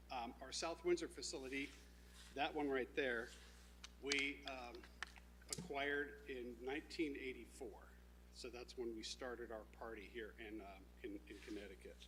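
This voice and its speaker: Halting man presenting at a town meeting. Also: medium pitch, a little hiss or hum, rough recording. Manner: halting, with many fillers and restarts; says he has a loud voice. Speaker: presenting applicant at a zoning meeting. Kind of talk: informal public presentation.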